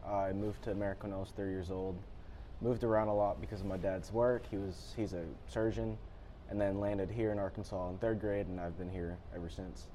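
A man's voice: sits low, fairly even intonation, a little fast, warm tone, slight background noise, rough recording. A teenage boy born in Japan, answering interview questions politely and often saying "yes, sir". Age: teens. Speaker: student athlete guest.

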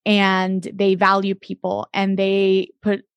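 A woman in her thirties from the Dominican Republic, a guest in an interview who talks in a warm, chatty way. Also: medium pitch, monotone, slow pace, smooth, dry recording, lo-fi sound. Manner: warm and chatty, with many fillers. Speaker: podcast guest.

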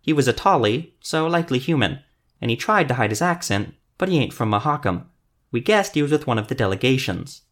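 A man's voice: mid-range voice, lively intonation, brisk, lightly acted. A man voicing a nervous, evasive gnome hired killer in a fantasy audiobook, with a folksy way of talking.

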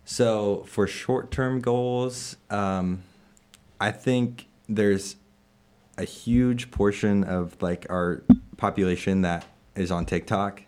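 A man in his twenties in a casual interview, answering in halting phrases with many fillers and restarts, laughing now and then. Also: on the low side, a little mellow, smooth. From Illinois, USA. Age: 20s. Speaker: interviewed staff member.

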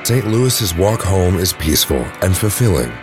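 Deep-voiced male narrator over music. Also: big pitch swings, moderate pace. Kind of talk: scripted audio drama narration.

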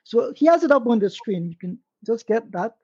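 A man's voice: extremely high pitch, sing-song, fairly quick, mellow, breathy edge, dry recording. A man on a live stream panel, informally giving callers advice and pointing them to resources. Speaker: panelist answering callers' questions. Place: online stream. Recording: dull, lo-fi online call.

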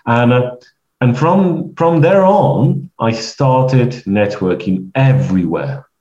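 A man with a Polish accent, a guest in an interview, telling stories in a halting way with many restarts. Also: mid-range voice, expressive, warm tone. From Poland. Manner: rambling, halting storytelling with frequent restarts. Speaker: guest, networking coach. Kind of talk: conversational podcast interview. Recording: echoey, dull lo-fi sound.

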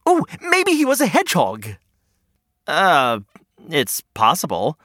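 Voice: medium pitch, wildly swooping pitch, slow, a bit bright, no echo, clean studio sound, exaggerated voice. A storyteller voicing an anxious hedgehog who worries about everything and speaks in a nervous, deflated way. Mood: worried.

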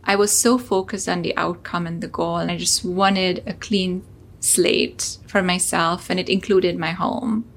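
A woman's voice: lowish voice, fairly even intonation, a little slow. A woman from Hungary, a startup founder, telling an earnest personal story as a podcast guest.

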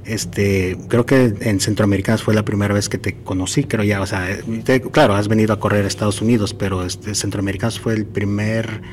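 A man's voice: lowish voice, fairly even intonation, a little fast, hardly any pauses, a little hiss or hum. A man hosting a podcast interview, speaking casually with many fillers and hesitations. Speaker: podcast host. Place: outdoors at a marathon event.

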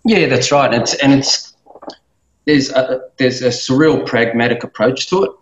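A man's voice: mid-range voice, a little slow, slight background noise. A man in his thirties from New Zealand, a guest in an interview, talking casually and thoughtfully with many fillers and restarts. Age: 30s. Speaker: guest, business owner. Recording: roomy, dull-sounding room mic.

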